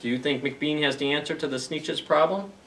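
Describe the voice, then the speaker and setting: A man's voice: on the high side, fairly quick, fairly bright tone, a little hiss or hum. Distant-miked man hosting children's story time. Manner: simple explanations and questions to young listeners. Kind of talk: read-aloud storytelling for young children.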